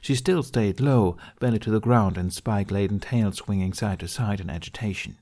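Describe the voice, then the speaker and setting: A man's voice: lowish voice, moderate pace, mellow. A man reading a scripted fantasy story as an audiobook narrator.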